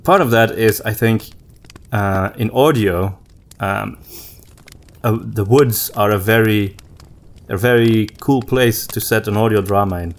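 Low-voiced man chatting on a podcast. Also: a little slow. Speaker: podcast host. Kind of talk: casual conversational podcast chat.

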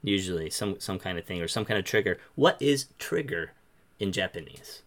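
A man's voice: deep voice, lively intonation, moderate pace. A man hosting a casual, instructional discussion, asking questions and explaining terms step by step. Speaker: host leading the discussion.

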